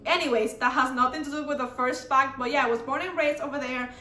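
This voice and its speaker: A woman's voice: high-pitched, fairly even intonation, fast, sharp tone, roomy sound. A young woman from the Dominican Republic with a Dominican Spanish accent, talking casually to her camera with lots of "like" and "you know" fillers. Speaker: YouTuber.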